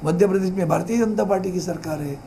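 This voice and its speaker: A male politician gives press remarks in a high voice. His phrasing is challenging and repetitive, and faint ambient noise sits behind him. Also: quick pace, creaky, mellow.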